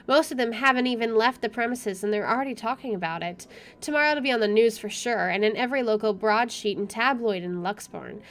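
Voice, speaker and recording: A woman's voice: on the high side, brisk, a bit bright. A woman game streamer reading visual novel narration aloud and adding casual commentary. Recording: game music behind.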